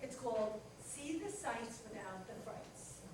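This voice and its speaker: A woman's voice: a little high, a little slow, far from the mic, lightly acted. A woman playing a practical, nagging companion to a superhero, dry and scolding, in a staged comedy play reading. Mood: teasing. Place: echoey room at a live staged reading. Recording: very echoey hall from afar, clear noise.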